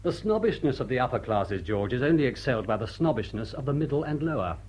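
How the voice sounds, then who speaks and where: An actor playing a suave, dry-witted butler in period drama, formal, measured and deadpan. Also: male voice, mid-range voice, breathy edge. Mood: wry. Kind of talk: period stage comedy radio drama.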